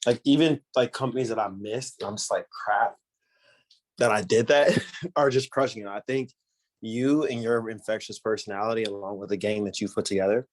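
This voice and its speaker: A man hosting a casual podcast interview, talking in a relaxed way with casual slang. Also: on the low side, moderate pace. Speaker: podcast host.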